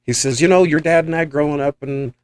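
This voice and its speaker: A man's voice: a little high, fairly quick, a little mellow. A man hosting a podcast, telling rambling, enthusiastic anecdotes in a casual chat. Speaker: podcast host and paranormal investigator.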